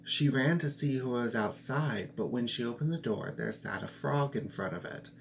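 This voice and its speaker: A man reading a fairy tale aloud, slow and expressive, with playful asides. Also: medium pitch, creaky, slight background noise. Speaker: storyteller.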